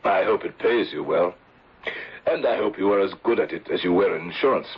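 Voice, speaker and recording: A courtly older insurance manager in a radio play. His voice is low and badly husked, with a formal, paternal delivery. The sound is dull, like an old broadcast. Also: a little fast, husky. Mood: fatherly concern. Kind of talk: 1970s radio drama acting.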